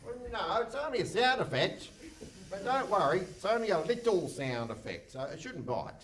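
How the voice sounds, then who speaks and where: Radio comedy role: earnest, chatty local cop sidekick played by a woman, animated delivery, distant in a very echoey hall. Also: mid-range voice, moderate pace, close to an everyday voice. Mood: chatty, eager. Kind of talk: comic radio play before a live audience. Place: hall stage with a live audience.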